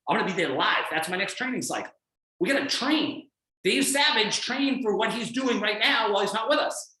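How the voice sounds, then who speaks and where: A man giving a blunt, energetic motivational coaching talk on a video call, with a non-American accent, often acting out what other people think. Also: high voice, echoey room, poor audio. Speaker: fitness coach guest speaker.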